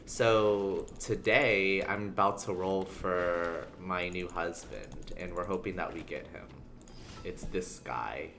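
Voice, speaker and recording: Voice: low voice, fairly bright tone. A game streamer chatting casually and ramblingly to viewers while playing. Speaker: livestreamer. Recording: background noise under the voice. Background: video game sounds.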